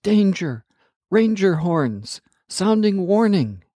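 A man's voice: a little high, animated, takes it slowly, a little mellow, dry recording, clean studio sound, voice pushed up. A man voicing a brave, spirited young princess in a storybook reading, speaking earnestly and with eager urgency. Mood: urgent. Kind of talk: storybook character voice.

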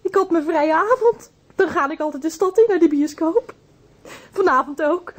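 An actress playing a distraught, timid and deferential housekeeper in a Dutch radio drama, speaking haltingly. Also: female voice, extremely high pitch. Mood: nervous, polite.

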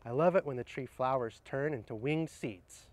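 A middle-aged man speaking outdoors, sharing picture books in a gentle, explanatory way and addressing viewers directly. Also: medium pitch, normal speed. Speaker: horticulturist presenting children's books. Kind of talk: picture-book read-aloud and casual book recommendations. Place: outdoors under trees in an arboretum garden.

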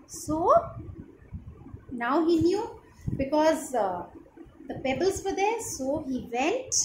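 A young woman with an Indian accent retells a story for children in simple phrases, often checking in with questions. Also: sits high. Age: young adult. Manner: simple explanatory phrasing with frequent questions to listeners. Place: small living room indoors, on a sofa. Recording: roomy echo, clearly noisy home phone recording.

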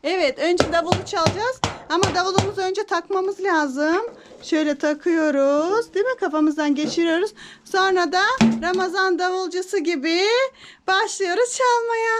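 A woman's voice: extremely high pitch, moderate pace, background noise. A woman talking playfully with her small child, encouraging and affectionate, asking lots of questions as a mother does. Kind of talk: playful chat with a small child for a kids' video.

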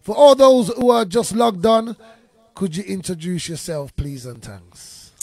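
Upbeat radio host, man, high raspy voice, half-sung intros over a music bed. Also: lively intonation, measured pace, darkish tone, no echo. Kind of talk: lively sing-song radio presenting.

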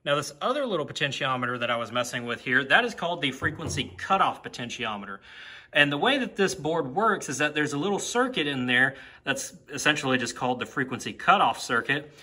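A man's voice: on the high side, animated, a little fast, pushed and bright, husky. A man giving a casual, hands-on technical demo in an informal, explanatory way. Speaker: hobbyist demonstrating siren equipment. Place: on a concrete floor beside open equipment.

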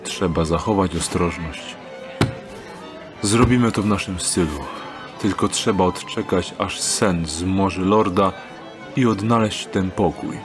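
Man acting a slow, low-voiced wary thief. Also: music in the background. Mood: cautious. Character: wary, wry male thief in a fantasy city. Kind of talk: fantasy audio drama acting.

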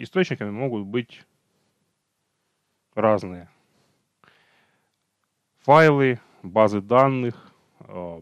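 A man's voice: lowish voice, no room sound. A man teaching an online technical training over slides, talking unhurriedly, with hesitations and self-corrections.

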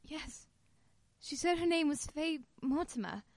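A woman's voice: high voice, lively intonation, measured pace, no room sound. A woman acting an anxious but stubborn wife in an old-time radio play. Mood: shaken. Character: Pamela Brent, anxious but stubborn young English wife.

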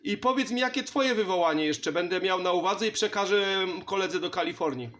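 A man's voice: high voice, brisk, fairly bright tone. A man chatting casually and in a friendly way as a CB radio operator. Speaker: CB radio operator and channel host. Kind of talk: casual CB radio contact chat. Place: indoor home radio station.

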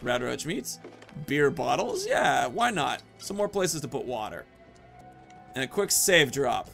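A man's voice: mid-range voice, wildly swooping pitch, measured pace, creaky, a bit bright, soft background music. A man in his thirties giving casual, rambling commentary as he plays a video game, often thinking aloud and muttering asides. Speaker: video game streamer and host.

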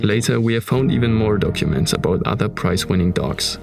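A man with a German accent narrating a documentary about an abandoned manor in a calm, measured tone. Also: lowish voice, creaky, music in the background. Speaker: narrator of urban exploration videos.

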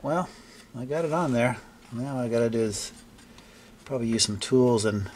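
An older man casually narrating a hands-on repair, thinking aloud in a halting, unscripted way. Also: medium pitch, creaky, fairly bright tone, a little hiss or hum. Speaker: hobbyist restorer presenting a repair video. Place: indoor basement-like home workshop.

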